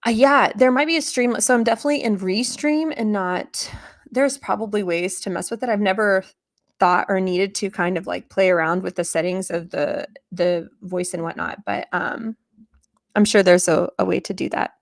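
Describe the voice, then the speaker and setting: Chatty woman with a low voice. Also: moderate pace. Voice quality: low voice for her today. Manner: chatty, rambling, many fillers. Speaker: streamer answering chat. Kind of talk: casual livestream chat.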